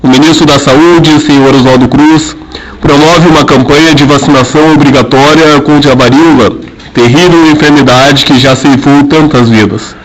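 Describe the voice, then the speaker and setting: A man's voice: on the high side, fairly even intonation, normal speed, a little hiss or hum, poor audio. A young man playing a formal radio news announcer, reading scripted narration.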